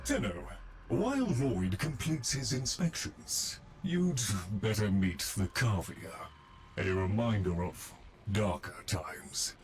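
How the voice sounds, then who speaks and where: An actor playing an arch, theatrical familiar who serves an absent master in a video game, speaking in formal, scripted lines. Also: male voice, medium pitch, a little hiss or hum. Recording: loud music behind the voice.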